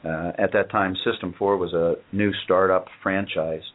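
Low-voiced businessman explaining calmly. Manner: measured, matter-of-fact explanations with frequent "uh". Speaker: company owner and guest. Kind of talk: business interview. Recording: tinny phone-like audio.

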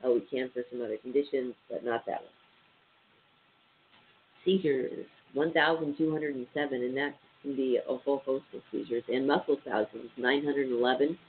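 A man's voice: medium pitch, steady tone, moderate pace. A man with a stuffy, nasal voice hosting a casual radio show, reading statistics aloud with many ums and uhs. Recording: tinny phone-line sound with faint hiss.

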